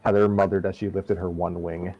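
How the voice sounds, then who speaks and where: A man reading a fan story aloud as a narrator, haltingly, stopping to correct his misreadings. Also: deep voice, flat delivery, mellow, slight background noise. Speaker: story reader. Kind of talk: scripted narration read aloud from fan fiction, with stumbles.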